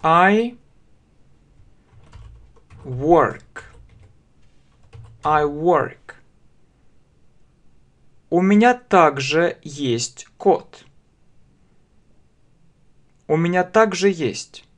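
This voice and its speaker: A man in his thirties with a Russian accent, teaching a language lesson very slowly, spelling out phrases word by word and repeating them at a desk webcam. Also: a little high, animated, a bit bright. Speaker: English teacher. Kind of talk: slow step-by-step language lesson. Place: small room indoors.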